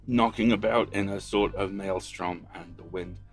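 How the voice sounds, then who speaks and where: Low, gravelly, doomed sea captain. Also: male voice, steady tone, faint music behind. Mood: despairing dread. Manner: grave, clipped, halting. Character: doomed, dutiful ship's captain, weary and fearful. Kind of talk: audio drama acting, reading a logbook.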